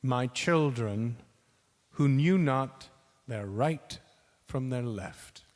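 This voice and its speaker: A man reciting spoken word in a measured, weighty theatrical manner, as a reader in a dramatic performance. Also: medium pitch, darkish tone. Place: large reverberant temple hall. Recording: long hall reverb.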